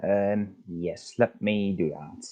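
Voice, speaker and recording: A man's voice: sits low, slow, mellow. A man speaking casually and a little hesitantly as a viewer joining a stream over voice chat. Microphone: online voice call. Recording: dull voice-chat audio.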